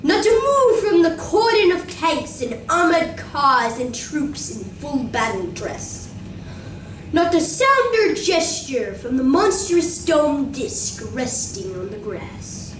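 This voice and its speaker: A woman performing as a grave, awestruck narrator of a science fiction radio play, theatrical and suspenseful, with dramatic pauses. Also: high voice, big pitch swings, distant mic, exaggerated voice. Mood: hushed suspense. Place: community room of a library, live performance. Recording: roomy live recording from afar, faint noise.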